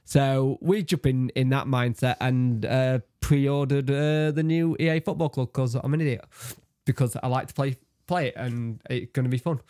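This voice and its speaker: A man's voice: mid-range voice, steady tone, normal speed, few pauses, mellow. An English man in casual podcast chat, self-mocking and acting out his own thoughts. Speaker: podcast co-host.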